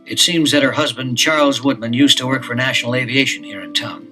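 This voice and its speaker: An actor playing a cautious, authoritative detective father who speaks in a measured way. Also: mid-range voice, over music, close to an everyday voice, rough recording. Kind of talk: 1970s children's audio drama.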